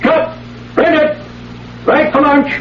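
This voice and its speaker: An actor playing an expressive American movie star in a theatrical 1970s radio drama. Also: male voice, extremely high pitch, slow pace, reverberant, loud music behind the voice. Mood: exasperated. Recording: dull, noisy old radio recording.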